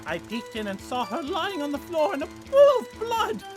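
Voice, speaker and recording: A woman acts out a fawning, shifty businessman in a comic courtroom dub. The voice is high and swings wildly, protesting honesty far too much, with music playing clearly behind. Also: sing-song, moderate pace. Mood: shifty, ingratiating. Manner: over-insistent, protesting honesty. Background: background music.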